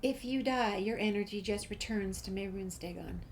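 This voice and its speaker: A woman's voice: medium pitch, normal speed, a little hiss or hum. A woman playing through a video game, reading dialogue aloud and adding casual asides. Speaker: gameplay streamer.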